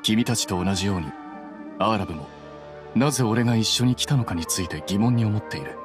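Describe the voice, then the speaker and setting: A man playing a cool, aloof young scholar-official in an anime game, speaking in a measured, condescending deadpan. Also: fairly low pitch, natural voice. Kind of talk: Japanese anime game voice acting. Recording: music behind the voice.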